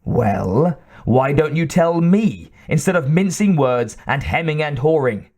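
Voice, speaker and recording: A gruff, sarcastic company boss who booms at his staff, voiced by a man reading the story. He talks in a blunt, impatient way. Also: lively intonation, measured pace, a little mellow.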